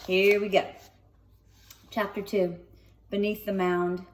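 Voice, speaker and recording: Expressive woman reading a storybook, slightly distant. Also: medium pitch, slow pace. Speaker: storybook reader. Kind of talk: children's read-aloud narration with casual asides. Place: sunroom at home.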